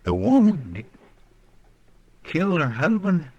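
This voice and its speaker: A man's voice: a little high, huge pitch swings, a little slow, a little mellow, husky, highs cut off, exaggerated voice. An actor playing an eager, plain-spoken, questioning sidekick to a frontier lawman in a radio western. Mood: astonished, puzzled. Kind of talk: old radio western acting.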